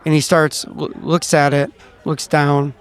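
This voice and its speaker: A man playing a tabletop game, improvising narration and character lines in a halting, stammering way. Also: fairly high pitch, little pitch movement, smooth. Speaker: player in a tabletop game podcast. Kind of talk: improvised storytelling, acting out a character.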